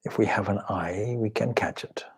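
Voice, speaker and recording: A man with a husky, breathy voice leads a guided meditation as a spiritual teacher, speaking in measured, reverent phrases with pauses. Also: fairly low pitch. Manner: measured, reverent, pausing between short phrases. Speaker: swami leading a guided meditation. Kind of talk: slow spoken guided meditation.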